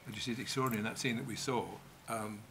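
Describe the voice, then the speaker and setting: An older man, a director, speaking enthusiastically with halting restarts on a stage panel, into a table mic. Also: mid-range voice, steady tone, sharp tone, a little hiss or hum. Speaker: television director.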